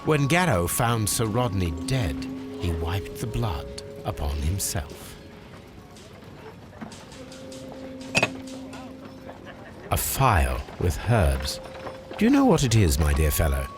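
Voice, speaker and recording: A man playing a shrewd, commanding English gentleman detective in a Victorian video game, speaking with precise, formal phrasing. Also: deep voice, huge pitch swings, unhurried, loud music behind the voice, exaggerated voice. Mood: musing. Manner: precise, formal, clipped questioning. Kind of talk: video game cutscene acting.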